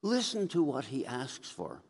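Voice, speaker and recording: A man's voice: mid-range voice, wildly swooping pitch, unhurried, a little mellow, husky. An older man, a priest, giving a conversational sermon at a lectern microphone and telling stories as he preaches. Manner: conversational storytelling, gesturing as he speaks. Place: church sanctuary, indoors. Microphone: gooseneck lectern mic. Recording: reverberant church hall.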